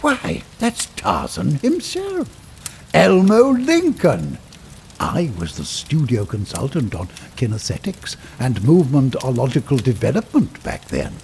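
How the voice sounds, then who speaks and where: An actor playing an eccentric, hospitable old former doctor with a creaky voice and a halting, theatrical manner in a period audio drama. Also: male voice, medium pitch, wildly swooping pitch, darkish tone, slight background noise, heavily acted. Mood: excited, boastful. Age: older. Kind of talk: audio drama acting in 1930s period setting.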